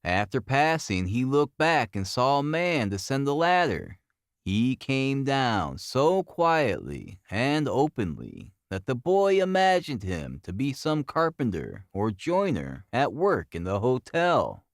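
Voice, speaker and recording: A man voicing an earnest, methodical Victorian police detective in an audiobook, giving his report in formal, deliberate storytelling. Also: mid-range voice, huge pitch swings, dry recording, studio quality, exaggerated voice. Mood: matter-of-fact.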